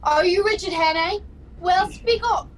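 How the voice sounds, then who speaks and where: A woman as a very high-pitched, bossy police officer. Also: a little slow. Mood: urgent, shouting. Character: bossy, barking male police officer on a manhunt. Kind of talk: radio play acting. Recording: noisy home video call audio.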